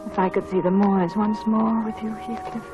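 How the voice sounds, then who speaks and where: A woman's voice: medium pitch, flat delivery, normal speed, close to an everyday voice. An actress playing a dying young woman, a tender, yearning lover in a 1940s radio drama. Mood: weak, yearning. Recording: dull vintage radio recording with music.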